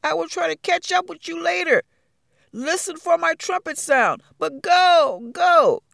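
A storyteller voicing a big male elephant leader who shouts in a high, strained voice. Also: big pitch swings, a little fast, no echo, clean studio sound. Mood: urgent.

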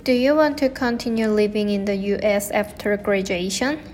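Woman asking steady interview questions. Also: medium pitch, normal speed, faint music behind.

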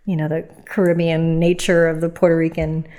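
A middle-aged woman from New York, a podcast guest telling family stories in a relaxed, reflective way, full of fillers and restarts. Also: low-pitched, brisk. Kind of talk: casual conversational storytelling.

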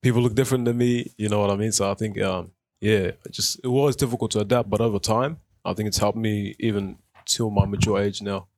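A man's voice: on the low side, fairly even intonation, a little fast, no room sound. A man in his twenties, from South Sudan, being interviewed on community radio about his life, speaking thoughtfully with many fillers and restarts. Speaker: guest. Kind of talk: radio interview, personal story. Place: radio studio.

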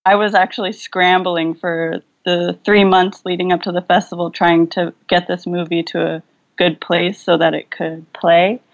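A woman's voice: lowish voice, flat delivery, normal speed, highs cut off. A young woman filmmaker, speaking thoughtfully over a video call and searching for her words with fillers and restarts. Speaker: filmmaker guest. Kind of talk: relaxed video-call interview.

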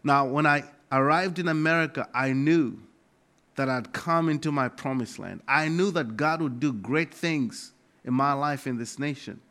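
A middle-aged man with a Malawian accent preaching a sermon as a pastor, emphatic and deliberately repeating key phrases. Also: mid-range voice, a bit bright.

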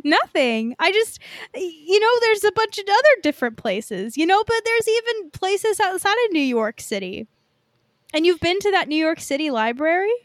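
A woman's voice: very high-pitched, sing-song, normal speed, no echo. A woman co-hosting a podcast in casual movie chat, speaking informally with swearing and plenty of filler "like". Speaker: podcast co-host.

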